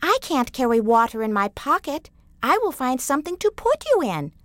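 An eager little storybook boy, cheerful and keen to help, in a high bouncy voice. A woman storyteller acts him out. It sounds close and dry. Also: big pitch swings, normal speed, dry recording. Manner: bright and eager.

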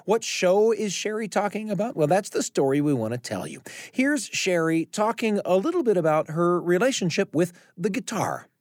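A man hosting and narrating a radio storytelling show, with dramatic, deliberate phrasing. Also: high voice, big pitch swings, a little mellow, dry recording, clean studio sound. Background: guitar music.

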